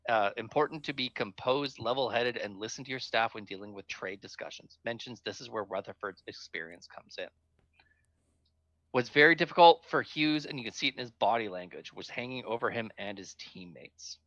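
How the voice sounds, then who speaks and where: A man's voice: mid-range voice, animated, brisk, a bit bright, dry recording, dull audio. A man co-hosting a casual podcast, reading news notes aloud and then chatting about them. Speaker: co-host.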